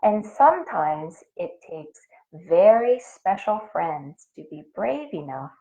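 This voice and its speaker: A woman reading a picture book aloud expressively to young children, pausing to explain and ask questions as a teacher would. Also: lowish voice, very animated, phone-quality sound.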